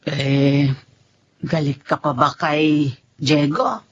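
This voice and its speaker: A woman's voice: sits low, fairly even intonation, very slow, full character voice. A Filipino woman playing an elderly, caring mother and grandmother in a radio-style drama. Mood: gentle, approving. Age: older. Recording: dull lo-fi audio with music behind.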